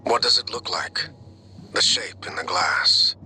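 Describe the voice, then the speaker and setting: An actor playing a calm, probing clinician in a video game, questioning in a measured, insistent way. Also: male voice, sits low, a little hiss or hum, full character voice. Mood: probing, controlled. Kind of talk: dramatic video game voice acting.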